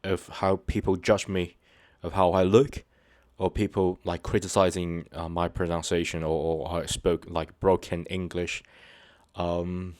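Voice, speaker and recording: A man hosting his own channel, talking to viewers unscripted in a halting, hesitant way, full of fillers and restarts, earnest and sincere. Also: low-pitched, level tone, a little mellow, no room sound, studio quality. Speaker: channel host. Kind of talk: unscripted personal talk to viewers.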